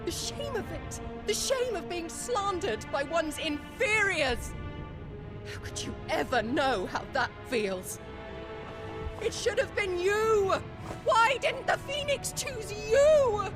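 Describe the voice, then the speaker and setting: An imperious noblewoman of middle age in a video game drama, obsessed with blood and rank. Her voice sits high, with formal, theatrical diction and bitter pride, over a clear musical score. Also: lively intonation, slow, music in the background, exaggerated voice. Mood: bitter anguish. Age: middle-aged.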